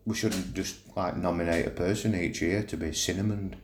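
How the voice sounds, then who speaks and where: Yorkshire male podcast co-host, low voice, colloquial joking banter, some background noise. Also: fairly even intonation, normal speed. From Yorkshire, England. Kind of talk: casual banter.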